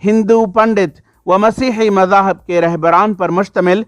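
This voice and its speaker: A man reading a scripted news report in a formal, measured way, as a reporter. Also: sits high, steady tone, smooth, no echo, faint music behind.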